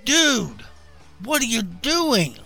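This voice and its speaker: A man's voice: very high-pitched, wildly swooping pitch, drawn-out words, vocal fry, sharp tone, faint music behind, voice pushed up, heavily acted. A man voicing a whiny, frantic, ordinary guy in a horror audiobook. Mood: panicked shock. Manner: whining, frantic exclamations.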